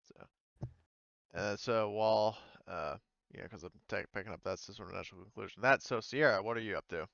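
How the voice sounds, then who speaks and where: A man's voice: fairly low pitch, fairly quick, vocal fry, a bit bright, no room sound. A man narrating a tabletop game casually as game master, on a muffled recording.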